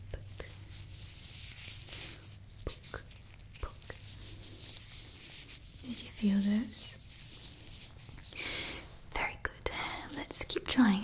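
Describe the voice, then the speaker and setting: A proud female mad scientist, whispered by a woman croons over her creation in an ASMR roleplay. The whisper sits a little high and moves unhurriedly, close to the microphone. Sweet praise slides into casual threats. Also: a little mellow. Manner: fawning praise with offhand menacing asides. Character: doting, sinister female evil scientist, proud mad inventor. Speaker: ASMR performer.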